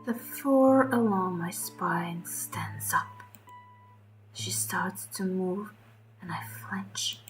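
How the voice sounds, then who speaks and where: Woman narrating as a slow, hushed, wary young beast. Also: medium pitch, natural voice, rough recording. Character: frightened, wary young beast pup narrating, snarling, defensive. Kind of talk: dramatic first-person horror narration.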